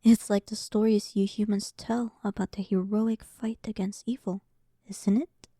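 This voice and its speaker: A dominant demon queen, acted by a woman, speaks slowly and with soft, mellow menace. She is arrogant and sarcastic, toying with her listener in a roleplay audio drama, often sinking into breathy near-whispers. The recording is close and dry. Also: medium pitch, little pitch movement, no echo, close to an everyday voice. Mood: commanding, gloating. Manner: slow, dominant, teasing, condescending, often dropping toward a whisper.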